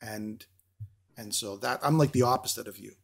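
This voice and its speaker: A middle-aged man hosts a casual interview, his first language Greek. His voice is gravelly and husky, and he talks informally, often repeating phrases. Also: lowish voice, normal speed. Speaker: interview host.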